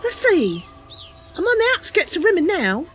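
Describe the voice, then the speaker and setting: A naive young wood elf girl, played by a woman, speaks in a high, girlish lilt over music. She is earnest and excitable, a wide-eyed fantasy game adventurer with a very lively melody to her speech. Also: moderate pace, full character voice. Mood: musing, curious.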